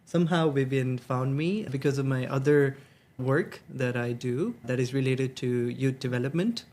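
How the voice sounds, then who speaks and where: A young man, answering interview questions in an earnest, measured way. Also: medium pitch, a little mellow. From Hong Kong. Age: 20s. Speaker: senior associate at a nonprofit.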